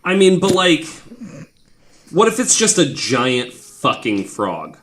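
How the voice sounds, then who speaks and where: Man, average-to-animated delivery with wide pitch swings, informal joking podcast host, light background noise. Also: slow. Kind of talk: casual banter.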